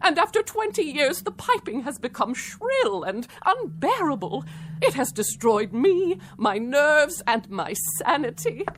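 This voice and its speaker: A middle-aged wife at the end of her patience in a theatrical radio drama. Her voice sits high and moves through very lively swings. She is sardonic and cutting, leaning hard on her words. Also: a little slow, heavily acted. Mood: fed up.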